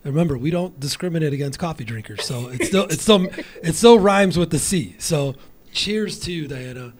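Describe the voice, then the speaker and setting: A man hosting a casual interview podcast, excitable and rambling, often restarting his sentences. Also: fairly high pitch, moderate pace, slight background noise. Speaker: podcast host.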